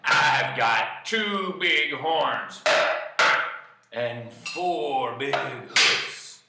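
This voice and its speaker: A man voicing a big, bold billy goat in a folk tale, making booming, drawn-out declarations of defiance. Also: fairly even intonation, roomy sound, very noisy. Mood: defiant.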